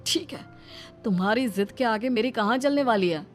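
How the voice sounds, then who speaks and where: A woman's voice: a little high, expressive, moderate pace, faint music behind, close to an everyday voice. A woman voicing a weary young husband in a cartoon story, complaining and pleading in an exasperated way.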